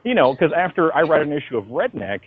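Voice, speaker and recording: A man's voice: a little high, fast talker, phone-quality sound, slight background noise. Profane, slangy man telling stories. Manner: animated, profane, slangy storytelling. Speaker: comic book writer being interviewed. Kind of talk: casual interview chat about own work. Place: phone call interview. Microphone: phone call.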